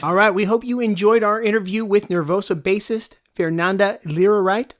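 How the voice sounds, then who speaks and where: A woman's voice: mid-range voice, steady tone, normal speed. A woman delivering a podcast outro as a host. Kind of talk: show outro.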